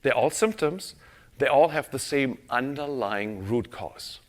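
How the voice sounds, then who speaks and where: A man giving a conference presentation on stage, an animated storyteller who draws the audience in. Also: mid-range voice, measured pace. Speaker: conference speaker. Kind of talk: keynote-style presentation.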